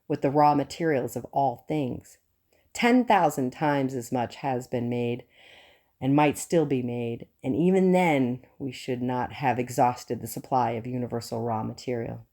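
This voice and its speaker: A woman reads scripted nonfiction as an audiobook narrator. Her voice sits low for a woman, with a dark, relaxed tone. The delivery is formal, measured and earnest. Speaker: audiobook reader.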